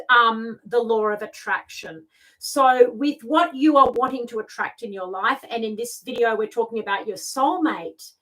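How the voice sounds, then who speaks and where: A woman's voice: a little high, a bit bright. A middle-aged woman with an Australian accent giving informal spiritual guidance to camera as a tarot reader, stressing her points with repetition. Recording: distant microphone.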